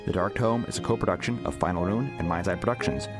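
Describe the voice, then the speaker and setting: A man's voice: deep voice, quick pace. A man reading scripted credits as a podcast announcer. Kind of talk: scripted narration. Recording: loud guitar music under the voice.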